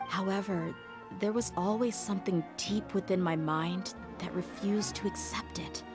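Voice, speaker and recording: An actress playing a tough, candid young female mercenary in a video game, wistful but resolute, speaking in a measured, reflective way. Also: mid-range voice, steady tone, close to an everyday voice. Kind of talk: scripted game dialogue. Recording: prominent music under the voice.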